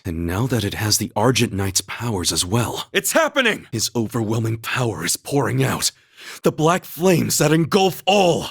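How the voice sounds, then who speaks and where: An actor playing an earnest, steadfast young warrior-knight in a video game, speaking in a solemn, measured, formal manner. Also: male voice, lowish voice, a bit bright, husky, dry recording, studio quality, exaggerated voice. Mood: urgent, awed. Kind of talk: dramatic English video game voice acting.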